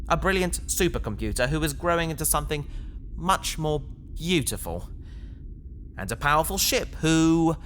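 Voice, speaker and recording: Determined, kindly spaceman for sci-fi audio drama: mid-pitched forward voice, sincere, slightly awkward conversational delivery. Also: a bit bright, a little hiss or hum. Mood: reflective. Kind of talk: science fiction audio drama acting.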